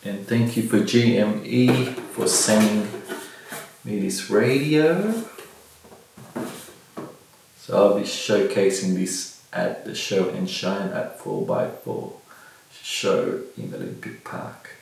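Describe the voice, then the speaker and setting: Really echoey room, aussie guy middle aged doing a casual unboxing, gravelly voice, mic kinda far off. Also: on the low side, sing-song, slow pace, a little mellow. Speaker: product reviewer. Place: small bare indoor room at a table. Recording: very echoey room, distant mic, faint noise.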